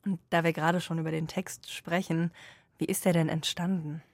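A woman with a fairly low voice conducts an interview. Her questions are measured and formally worded, and the recording is dry and close. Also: little pitch movement, no room sound.

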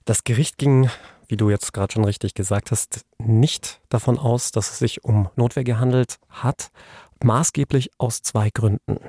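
A man's voice: mid-range voice, fairly even intonation, moderate pace, mellow, no room sound, studio quality. A man giving explanatory legal commentary in a podcast discussion.

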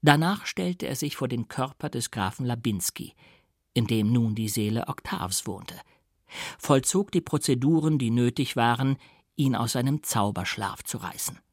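A male audiobook narrator with a medium-pitched, gravelly voice, recorded very dry. He reads literary prose expressively, shaping phrases with deliberate theatrical care. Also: lively intonation, darkish tone, no room sound. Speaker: audiobook reader.

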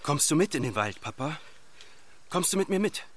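A man's voice: a little high, sing-song, moderate pace, slight background noise. An actor playing a hungry, neglected son who pleads insistently with his father in a radio drama. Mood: urgent pleading. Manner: insistent, plaintive.

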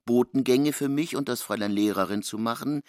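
A man's voice: mid-range voice, brisk, darkish tone, no room sound, studio quality. A man giving formal, emphatic scripted narration of a literary classic, including reported speech.

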